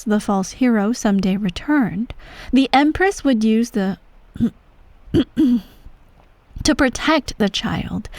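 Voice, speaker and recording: Slow, hushed imperial mother played by a woman. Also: on the high side, dry recording. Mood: solemn. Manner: hushed, measured, regal, breaking into soft whispery tones. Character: ruthless, self-righteous empress mother telling her adopted child a bedtime story. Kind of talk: scripted ASMR roleplay, bedtime storytelling.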